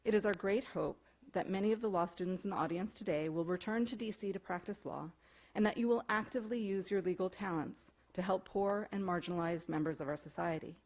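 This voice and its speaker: A woman introducing the speakers at an event, reading prepared remarks in a brisk, formal way. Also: mid-range voice, darkish tone. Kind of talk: scripted introduction. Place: event room before an audience.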